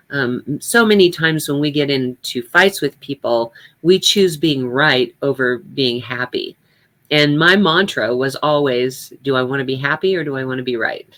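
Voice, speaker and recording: Older woman with a bright, forceful voice. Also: low voice. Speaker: speaker giving a personal talk. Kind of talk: reflective personal storytelling talk.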